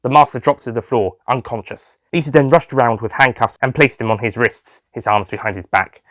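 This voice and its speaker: A man's voice: mid-range voice, fairly quick, fairly bright tone, no room sound, clean studio sound. A man reading scripted narration for an audio story as its narrator. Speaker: writer and narrator.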